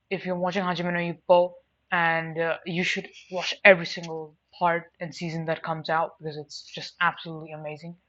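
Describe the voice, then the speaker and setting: A woman's voice: fairly low pitch, a bit bright. A woman giving a casual, unscripted opinion review, rambling and repeating words emphatically for stress. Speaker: anime and manga reviewer. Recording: dull lo-fi mic with faint noise.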